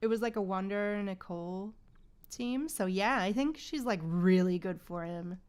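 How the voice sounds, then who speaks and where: A woman's voice: medium pitch, moderate pace, a little mellow, clear, resonant tone. A woman streaming a game, chatting casually with viewers in gamer slang. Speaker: game livestreamer. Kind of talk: casual livestream chat.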